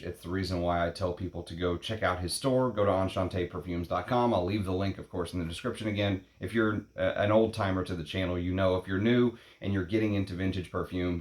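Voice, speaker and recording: A man in his late thirties hosting a perfume vlog, talking casually in a rambling, opinionated way, blunt and quick to swear. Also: deep voice, fairly even intonation. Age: 30s. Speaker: perfume channel host. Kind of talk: casual unscripted vlog talk. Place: at home in Texas.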